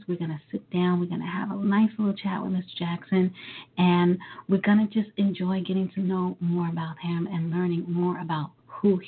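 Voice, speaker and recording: A woman hosting a live talk radio show, a little hoarse, chatting easily with frequent ums and uhs. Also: fairly low pitch. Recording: phone-line quality.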